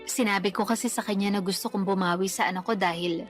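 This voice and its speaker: A woman's voice: medium pitch, fairly even intonation, fast talker, faint music behind, lightly acted. A young woman playing a daughter and young mother in a radio drama, polite, earnest and pleading. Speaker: daughter character.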